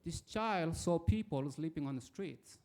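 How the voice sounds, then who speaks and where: A man from Ecuador, an audience member who introduces himself formally and tells a story in a measured way before his question. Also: a little high, expressive, a little mellow.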